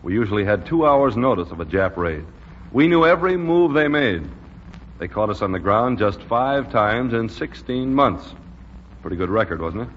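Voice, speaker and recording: A man portrays an American major in a vintage radio drama. The voice sits fairly low and carries patient, plainspoken authority. The recording is dull with some noise behind it. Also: animated, moderate pace, lightly acted. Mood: firm. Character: steady, firm American major, squadron commander. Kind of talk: 1940s radio drama acting.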